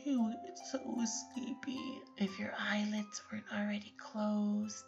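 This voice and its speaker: A woman guiding a bedtime sleep story for children, talking softly and soothingly, often in a whisper. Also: medium pitch, steady tone, slow pace, creaky. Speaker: bedtime meditation guide. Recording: slightly dull recording with lullaby music.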